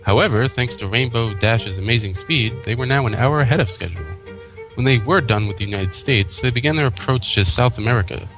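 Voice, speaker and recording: A man reads fan fiction aloud as narrator and co-host. His voice sits at a middle pitch, with music clearly playing behind it. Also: fairly quick, fairly bright tone. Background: background music.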